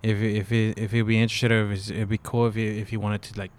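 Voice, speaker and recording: Halting man co-hosting a casual podcast. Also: fairly low pitch, flat delivery. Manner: halting, many fillers and restarts. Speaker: co-host. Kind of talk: casual chat.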